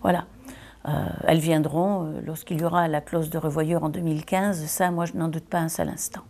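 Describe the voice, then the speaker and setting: A woman giving a semi-improvised political statement as a local elected official, deliberate and hesitant, repeating phrases for emphasis. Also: low voice, steady tone, gravelly, a little mellow.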